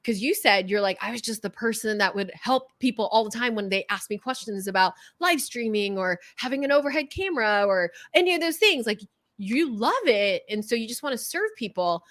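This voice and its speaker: A middle-aged woman with a California Valley accent, whose first language is Korean, talking fast and passionately as a coach in an interview, sometimes stumbling over her words. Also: a little high, lively intonation, a bit bright, no room sound, studio quality. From Southern California. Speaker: guest coach. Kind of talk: energetic interview chat.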